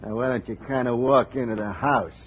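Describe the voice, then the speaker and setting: Gangster sidekick male actor, unhurried mock-casual menace, vintage radio melodrama, dull recording with light hiss. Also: medium pitch, close to an everyday voice. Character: smirking, slow-talking male hoodlum sidekick. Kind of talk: 1950 radio crime drama acting. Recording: muffled, noisy old radio broadcast.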